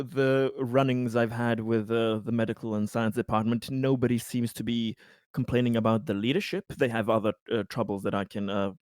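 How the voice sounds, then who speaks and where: A man playing a tabletop roleplaying game, improvising an advisory report in a hesitant, halting manner. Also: fairly low pitch, darkish tone, no echo.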